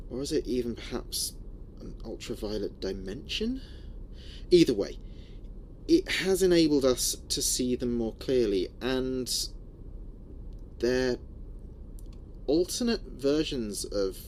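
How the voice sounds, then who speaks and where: A man playing a weary, frightened spaceship crewman recording a log in a sci-fi horror audio drama, speaking gravely in halting, broken phrases with dramatic pauses. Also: mid-range voice, a little mellow, a little hiss or hum, low-quality recording.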